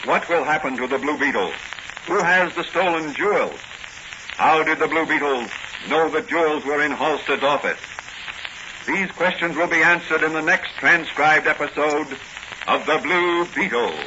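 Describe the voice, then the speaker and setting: A man's voice: a little high, moderate pace, noisy background. A man announcing and narrating in the dramatic style of a 1940s radio serial. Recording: dull old radio transcription with music.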